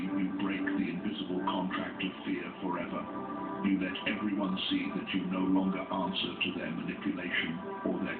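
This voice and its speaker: A man delivering scripted spiritual motivational narration in a solemn, declarative manner, heard as muffled, distant playback. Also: low-pitched. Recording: muffled phone-like playback, distant, echoey, music behind. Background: loud music.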